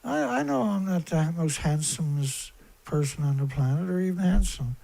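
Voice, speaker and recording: Squeaky-voiced man ranting on a livestream. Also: animated, normal speed, gravelly, warm tone. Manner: aggrieved, emphatic, repetitive, blunt. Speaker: live stream host, environmental activist. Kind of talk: rambling live-stream monologue on a serious topic.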